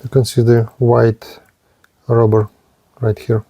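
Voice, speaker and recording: A man giving an unscripted hands-on product demonstration as a reviewer, speaking haltingly in short, broken-up phrases. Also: mid-range voice, completely flat delivery, dark tone, slightly rough, no echo. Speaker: gadget reviewer presenting a radio.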